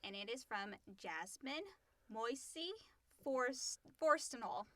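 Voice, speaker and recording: Bright, higher-pitched woman co-hosting casually. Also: animated, takes it slowly, dry recording. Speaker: podcast co-host reading listener questions. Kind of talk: casual chat and reading questions aloud.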